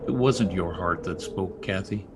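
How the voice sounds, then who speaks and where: A man's voice: deep voice, measured pace. Man acting a brooding young stable boy, noisy recording. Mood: brooding, tender. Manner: brooding, intense. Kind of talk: old-time radio drama acting. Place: indoor, remote video-call session. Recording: noisy remote video-call audio.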